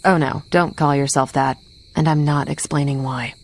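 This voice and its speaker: A woman's voice: deep voice, fairly even intonation, normal speed, a little mellow. A woman playing a frank, worldly, dry hero in a video game cutscene, with faint background noise. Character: frank, worldly female hero, dryly approving.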